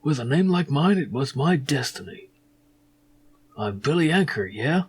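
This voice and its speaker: Man playing a husky, chatty insurance salesman. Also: mid-range voice, big pitch swings, measured pace, full character voice. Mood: matey, resigned. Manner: matey, tags sentences with questioning "yeah". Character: chatty, eager male insurance salesman named Billy Anker.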